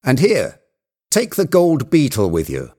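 A man voicing an excited, bossy, obsessive character who gives urgent orders in an agitated storybook tale. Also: mid-range voice, unhurried, pitched above the performer's own voice. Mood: insistent. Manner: imperious, agitated, exclaiming.

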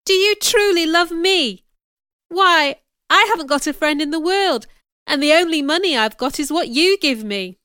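A woman's voice: sits high, expressive, a little slow, fairly bright tone, clear, resonant tone, no echo, clean studio sound, heavily acted. A woman voicing a passionate young governess in a storybook, hurt but defiant, speaking with emotional emphasis. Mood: incredulous.

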